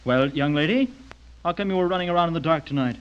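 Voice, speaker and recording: A man plays a jovial, blunt middle-aged father in a radio play. His voice sits fairly high for a man and has a teasing, talkative manner. The recording is dull and lo-fi. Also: quick pace, clean tone, lightly acted. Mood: amused, blunt. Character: chatty, tactless middle-aged father, insurance salesman. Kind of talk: radio drama acting.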